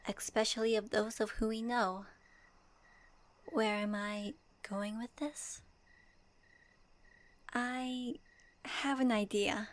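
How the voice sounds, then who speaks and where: A woman playing a gentle, thoughtful young swordswoman traveler, speaking softly to the listener in a fan audio roleplay. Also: fairly high pitch. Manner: soft, reflective, addresses listener directly.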